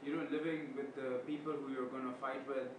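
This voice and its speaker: A man's voice: medium pitch, little pitch movement, fairly quick. Male interviewer, talkative questioner; distant, roomy, noisy recording. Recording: roomy, distant mic with background noise.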